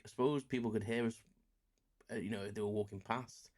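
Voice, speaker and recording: A man's voice: lowish voice, fairly even intonation, a little fast, a little mellow. A man around forty, chatting casually on his solo podcast, rambling and self-deprecating with many fillers. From England. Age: about 40. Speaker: podcast host.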